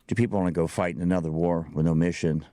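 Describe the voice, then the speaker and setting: Older man with a deep lecturing voice. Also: steady tone, quick pace, dark tone, dry recording, clean studio sound. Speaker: video commentator. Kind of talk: opinion video commentary.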